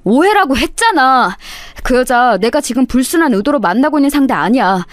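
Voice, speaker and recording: A woman's voice: high voice, very animated, brisk, no echo. A woman voicing an evasive, defensive husband who blames his wife in a dramatized story. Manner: defensive, blaming. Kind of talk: dramatized radio story dialogue.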